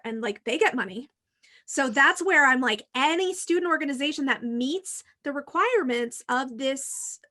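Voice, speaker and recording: An American woman talks in a high, bright voice with a forceful edge. She hosts in a casual, conversational style, laying out her views frankly and emphatically, often circling around a point as she explains it. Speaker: podcast host and university teacher.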